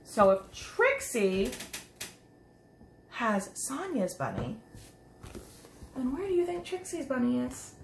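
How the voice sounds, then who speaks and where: A woman reading a picture book aloud to young children, expressive, with chatty asides and simple questions to her listeners. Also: on the high side, slow, long pauses. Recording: roomy echo, some background noise.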